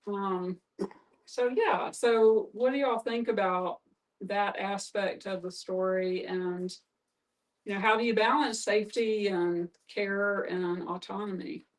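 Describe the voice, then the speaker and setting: A woman's voice: mid-range voice, steady tone, slow pace. A woman facilitating a conversational group discussion, inviting others in with open questions. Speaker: guest facilitator, therapist. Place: live group gathering in a room. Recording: distant, off-mic pickup.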